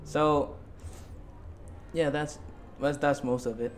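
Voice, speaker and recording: A man's voice: fairly high pitch, steady tone, takes it slowly, slight background noise. A young man in his late teens from Bali giving casual interview answers as a student guest, hesitant and repeating himself. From Bali, Indonesia.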